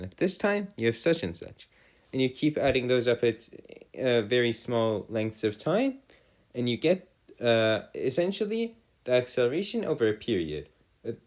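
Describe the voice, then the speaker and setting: A man giving a step-by-step lesson as a tutor, in formal, wordy phrasing with halting pauses and self-corrections. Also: mid-range voice, a little mellow. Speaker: math and physics tutor. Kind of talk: explanatory lesson, worked step by step.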